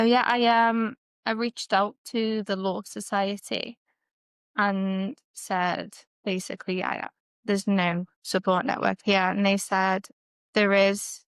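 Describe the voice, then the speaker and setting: A woman's voice: medium pitch, clean tone, no echo. A young woman with a Liverpool accent, a guest on a podcast, talking casually with many fillers and some hesitation. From Liverpool, England. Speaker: guest, costs consultant.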